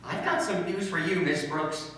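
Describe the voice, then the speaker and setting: A man's voice: fairly high pitch, steady tone, normal speed, sharp tone. A man playing a smug, scheming teenage schoolboy with grandiose wording, in old-time radio comedy style. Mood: eager, conspiratorial. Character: cheeky, scheming teenage schoolboy, theatrically wordy. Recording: echoey room, distant mic, faint noise.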